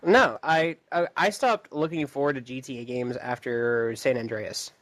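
Young male co-host, mid-range voice, dry sound, relaxed and hesitant. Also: medium pitch, moderate pace, no room sound. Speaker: podcast co-host. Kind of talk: casual chat.

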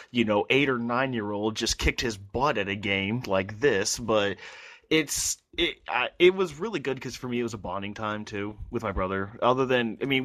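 Low-voiced man telling a rambling story. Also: a bit bright, dry recording. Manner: rambling, halting storyteller with many restarts. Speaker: podcast co-host. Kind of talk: casual chat.

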